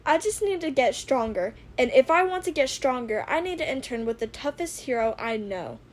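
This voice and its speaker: Woman as an excitable, high-voiced teenage boy inventor. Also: normal speed. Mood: agitated. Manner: emphatic, earnest, easily worked up.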